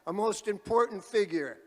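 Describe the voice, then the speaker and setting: A man moderating a panel at an activist conference, speaking loudly and calling out to the crowd like a rally speaker. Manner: loud, booming, calls out to the crowd. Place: large conference hall. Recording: reverberant hall.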